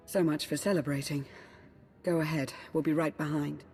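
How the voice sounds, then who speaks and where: An actress playing a cool, pragmatic advisor in a video game, speaking in a composed, dry, measured way. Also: female voice, low-pitched, steady tone, a little mellow, faint music behind. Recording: reverberant game hall.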